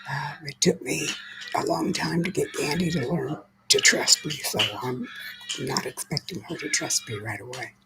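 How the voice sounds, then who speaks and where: A woman's voice: deep voice, expressive, normal speed, creaky, background noise. A woman who has lost her voice, whispering her way through a chatty livestream and greeting viewers by name. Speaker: livestream host. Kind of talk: casual live chat with viewers.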